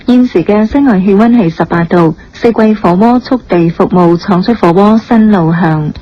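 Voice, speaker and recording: A woman's voice: medium pitch, moderate pace. A woman reading a scripted, sponsored time check as a radio announcer. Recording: dull radio broadcast, faint noise.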